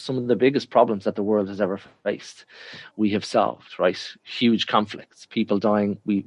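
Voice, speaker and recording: A frank Irish man talks in a lowish register, leaning hard on his points and often saying them twice. His voice is dry and close, with no room sound. He sounds like he is on a video call. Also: no room sound. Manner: frank, emphatic, repeats himself when making a point. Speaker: interview guest. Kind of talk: conversational interview answers.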